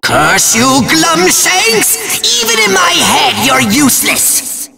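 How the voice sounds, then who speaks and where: A man voicing a pompous, tantrum-prone little evil overlord in a video game, shrill and gravelly, ranting theatrically. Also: big pitch swings, takes it slowly, reverberant, in a large hall, over music, heavily acted. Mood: exasperated. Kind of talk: cartoonish video game villain acting.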